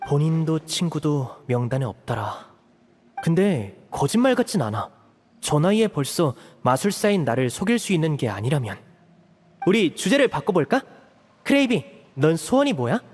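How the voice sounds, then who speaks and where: An actor playing a young male magician who leads calmly and persuasively in a Korean video game. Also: on the high side, moderate pace, long reverb tail. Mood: gentle, probing. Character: composed young male magician, caring leader.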